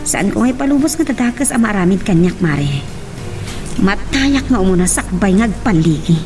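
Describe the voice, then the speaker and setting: A woman's voice: mid-range voice, animated, normal speed, music in the background, lightly acted. A woman with a Filipino accent acting an outspoken, aggrieved woman in a family radio drama. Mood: troubled, confiding.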